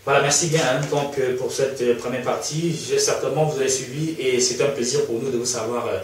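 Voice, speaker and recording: A man hosts an interview, asking long questions that loop and repeat words as he goes. He talks quickly, and his voice rings in a very echoey room from a mic set well back, with a faint hiss behind. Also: medium pitch, fairly even intonation, far from the mic. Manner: rambling, repeats words while forming questions. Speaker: talk show host.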